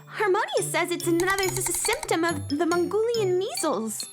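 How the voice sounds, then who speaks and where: Sick young space cowgirl: very high, swooping voice, miserable yet plucky, kids' radio serial. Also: animated, normal speed, music in the background. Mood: anxious. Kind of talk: children's radio serial acting.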